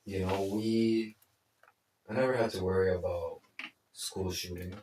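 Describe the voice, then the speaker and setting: A man's voice: low voice, fairly even intonation, measured pace, darkish tone, slight background noise. A man from Lebanon, a consultant, chatting casually and rambling with lots of fillers and restarts. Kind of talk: casual conversation. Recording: echoey room, mic far off.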